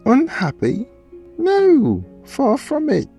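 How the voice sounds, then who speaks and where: Man playing a creaky, animated, devoted pet dog. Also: very high voice, takes it slowly, soft background music, exaggerated voice. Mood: proud, adoring. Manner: effusive, theatrical delivery. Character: devoted pet dog, affectionate, dramatic, sentimental male companion. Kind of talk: radio play acting.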